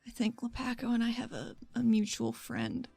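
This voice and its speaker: A woman's voice: on the high side, moderate pace, gravelly, warm tone, breathy edge, no room sound, faint music behind. A woman voicing a wry, nervy young female regulator in a tabletop game, in a casual, confiding way.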